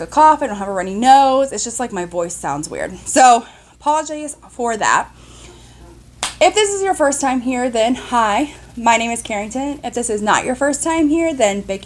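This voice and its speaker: A woman's voice: a little high, expressive, normal speed. A young woman chatting casually through a makeup tutorial, upbeat and full of fillers, her voice a little cracky today. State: slightly cracky voice. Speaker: beauty vlogger.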